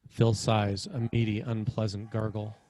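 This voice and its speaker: A man's voice: lowish voice, level tone, measured pace, warm tone, a little hiss or hum. A man reading screenplay action lines as narrator at a table read. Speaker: screenwriter narrating stage directions.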